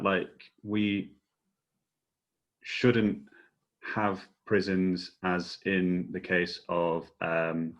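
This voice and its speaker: Very low-pitched man, slightly dull audio. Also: fairly bright tone. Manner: hesitant, carefully searching for wording. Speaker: panel questioner. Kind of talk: measured discussion, posing questions.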